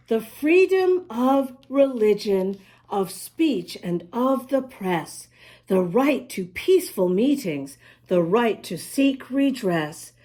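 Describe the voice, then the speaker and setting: A woman's voice: fairly high pitch, darkish tone, breathy edge. A woman reading a storybook aloud to young children, explaining as she goes in an emphatic, sing-song manner. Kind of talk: expressive read-aloud to young children with asides.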